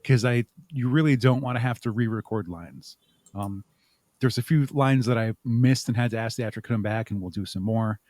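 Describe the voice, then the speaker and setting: Man, medium pitch, interview guest, explanatory, example-driven, conversational, very little room echo. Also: normal speed, darkish tone, studio quality. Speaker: interview guest, audio drama creator.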